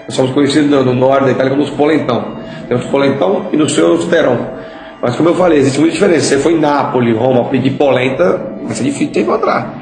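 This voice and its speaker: A man's voice: mid-range voice, fast, over music. A man from Espírito Santo with a Capixaba accent, answering interview questions in an explanatory way and often tagging sentences with "né?". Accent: Capixaba Brazilian Portuguese. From Espírito Santo, Brazil. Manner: explanatory, frequent "né?" tags. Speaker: interviewee, political candidate. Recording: echoey with background ambience.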